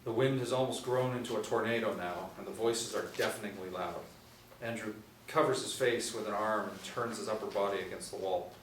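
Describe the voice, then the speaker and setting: A middle-aged man narrates stage directions from a script. His voice sits fairly low. The sound is roomy, with faint noise behind him. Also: a little fast. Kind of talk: scripted narration of screenplay action. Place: small indoor room, seated at table read. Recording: roomy, distant mic, noisy ambience.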